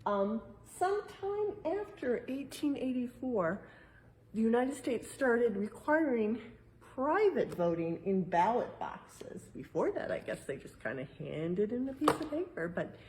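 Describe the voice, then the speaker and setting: A woman reading a picture book aloud in a museum exhibit like an educator, pausing for casual explanations and checking in with little tag questions. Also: a little high, big pitch swings, darkish tone, poor audio. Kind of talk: read-aloud storytime with casual explanatory asides.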